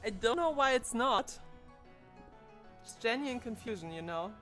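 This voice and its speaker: A woman's voice: a little high, lively intonation, measured pace, fairly bright tone, soft background music. A woman in her twenties streaming live from home, chatting casually and thinking aloud into a desk microphone as she puzzles over her setup. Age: 20s.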